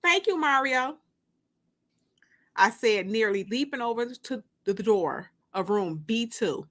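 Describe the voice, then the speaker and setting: A woman narrating a first-person audiobook story in an expressive, emphatic, dramatic way. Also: mid-range voice, measured pace. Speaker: author and narrator.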